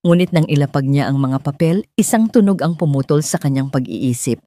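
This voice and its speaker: A woman's voice: low voice, brisk, mellow, dry recording. A woman with a Filipino accent reading a scripted, dramatic audio story as its narrator.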